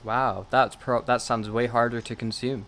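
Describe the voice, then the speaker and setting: A man's voice: mid-range voice, steady tone, moderate pace, background noise. Casual, rambling male podcast co-host. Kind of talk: casual chat reviewing audio dramas.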